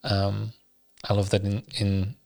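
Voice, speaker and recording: A man's voice: on the low side, completely flat delivery, slow, gravelly, a little mellow. A man hosting a podcast, asking his guest questions in a hesitant conversational way with frequent restarts. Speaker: podcast host.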